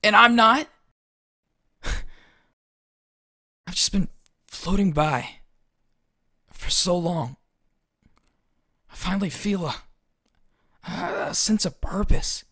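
A man's voice: sits high, animated, extremely slow, long pauses, pushed and bright, dry recording, clean studio sound, full character voice. A young man acting a flippant, defiant teenage schoolboy in an audio drama, dismissive and sarcastic.

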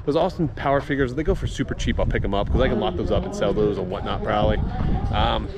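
A man's voice: lowish voice, fast talker, a crowd in the background. A middle-aged man reselling collectibles, talking casually to camera at an auction house and thinking aloud as he goes. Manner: chatty, thinking aloud with restarts. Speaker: reseller vlogger. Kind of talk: casual running commentary.

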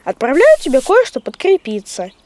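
A woman's voice: high-pitched, huge pitch swings, normal speed, background noise. A woman voicing a patient young cartoon creature, expressively explaining and reading aloud for children. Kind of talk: children's educational cartoon voice acting.

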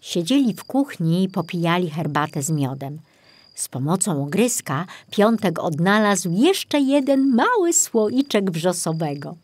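A woman reads a children's story as its narrator. Her delivery is animated and slow, and the sound is dry and studio-close. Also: fairly low pitch, breathy edge, no echo. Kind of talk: expressive scripted storybook narration.

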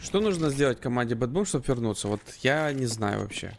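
A man co-commentating on an esports match, giving measured tactical analysis in short, broken phrases. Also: medium pitch, lively intonation, noisy background. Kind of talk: live analytical Counter-Strike commentary.

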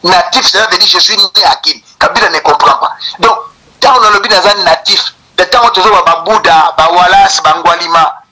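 Middle-aged Congolese man, Congolese French accent, political commentator, high and very bright voice, slightly tinny phone-like sound. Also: normal speed. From Congo (Kinshasa). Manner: emphatic, repetitive, scornful. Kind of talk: political monologue mixing French and Lingala.

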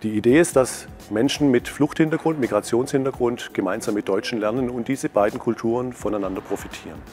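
A middle-aged man speaking into a lapel mic, calmly explaining a nursing training program as its instructor, with a few fillers. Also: mid-range voice, music in the background. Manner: measured, explanatory, some fillers. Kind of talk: interview statement.

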